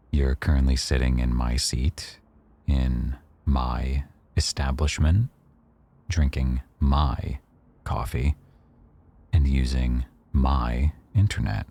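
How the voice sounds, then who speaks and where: A man playing a rough-edged mafia boss who owns a cafe, teasing, probing and quietly threatening, in a boyfriend ASMR roleplay. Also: a bass voice, full character voice. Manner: teasing, probing, smugly self-assured.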